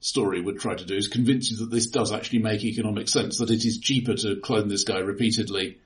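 A man co-hosting a casual film discussion, speaking in a hesitant, halting, analytical way. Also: fairly low pitch, fairly even intonation. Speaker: podcast co-host.

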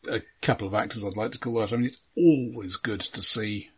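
A man co-hosting a film podcast, giving measured, understated opinions in casual conversation. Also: lowish voice, fairly bright tone, breathy edge.

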